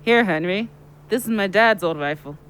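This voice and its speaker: Reckless, high-voiced wild man. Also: moderate pace, clear, resonant tone, poor audio. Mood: wild, excited. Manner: excitable, insistent. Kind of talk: audio drama acting. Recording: music behind the voice.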